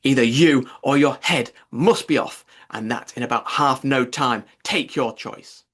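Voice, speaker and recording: A man's voice: mid-range voice, a little slow, fairly bright tone, husky. A man voicing a savage, imperious Queen in a storybook, blunt and commanding. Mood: threatening. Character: imperious, savage Queen, quick to order executions.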